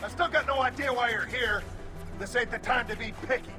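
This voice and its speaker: A man's voice: very high-pitched, fairly quick. A man playing a defiant alien marine squad leader in a video game, with clipped military talk through a helmet filter. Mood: urgent. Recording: game audio with music behind. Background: music.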